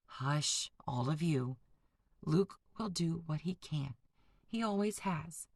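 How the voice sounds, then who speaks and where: A woman voicing a tired, gentle farm mother hushing her sons in a storybook read-aloud. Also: low-pitched, lively intonation, takes it slowly, gravelly, no echo, clean studio sound, full character voice. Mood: soothing.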